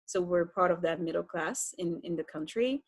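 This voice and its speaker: A woman's voice: fairly low pitch, normal speed, dry recording. A woman from Cameroon with a Cameroonian accent, telling her own story conversationally as an interview guest.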